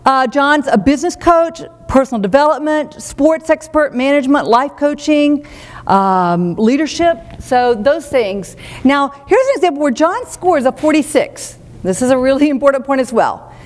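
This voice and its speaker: A woman giving a keynote talk at a live seminar, handing out brisk, practical, direct advice to the audience. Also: sits high, animated, in a large hall. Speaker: keynote speaker. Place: event hall.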